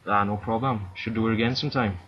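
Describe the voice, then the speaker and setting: A man hosting a casual voice-call chat, chatty and laughing often, closing with thanks and shout-outs. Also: fairly low pitch, fast talker, breathy edge. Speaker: channel host. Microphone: computer mic on a voice call. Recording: dull lo-fi voice-call audio with faint noise.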